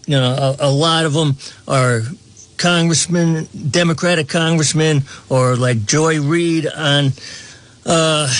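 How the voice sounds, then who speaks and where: A male talk show host speaks slowly and deliberately in a somewhat high voice. He rambles through a political commentary monologue, restarting phrases and chuckling now and then. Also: a bit bright, dry recording. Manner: slow, rambling delivery with frequent restarts and chuckles.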